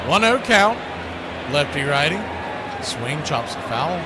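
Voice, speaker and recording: Male baseball play-by-play host, high register, clipped punchy calls with chatty asides, noticeably noisy background. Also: sing-song, takes it slowly. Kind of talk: play-by-play commentary mixed with casual chat.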